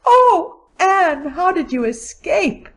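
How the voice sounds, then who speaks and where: An excitable young girl acted by a woman in an audiobook drama. Her voice is extremely high, and she draws her words out slowly in a breathless, devoted gush. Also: exaggerated voice. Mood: frantic relief. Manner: breathless, gushing. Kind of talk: audiobook character acting.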